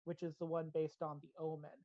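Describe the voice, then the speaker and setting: A woman's voice: low voice, little pitch movement, brisk, no room sound. An American woman hosting a podcast, talking casually and giving opinionated pop-culture reviews with chatty asides. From United States. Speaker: podcast host. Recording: slightly dull, band-limited audio.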